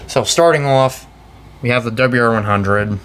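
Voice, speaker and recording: A young American man giving a casual, off-the-cuff show-and-tell, talking in a halting, rambling way and often correcting himself. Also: medium pitch. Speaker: hobbyist video creator. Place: indoors in a house. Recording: noisy room with air-conditioner hum.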